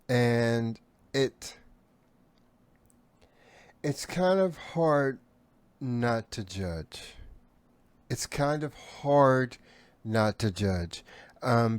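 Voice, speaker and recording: A man chatting casually as a podcast co-host, a bit halting as he thinks out loud. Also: medium pitch, dry recording. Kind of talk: casual chat.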